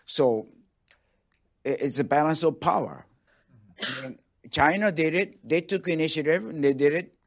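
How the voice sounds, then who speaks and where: A man from Japan with a Japanese accent, asking spontaneous questions from the floor in a halting, blunt way. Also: a little high. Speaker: audience member asking questions.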